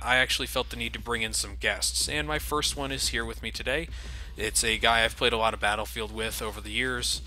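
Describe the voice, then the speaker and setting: A man's voice: mid-range voice, pushed and bright, a little hiss or hum. A young man casually commenting over game cutscenes, joking and critical of the game as the host. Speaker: let's play host.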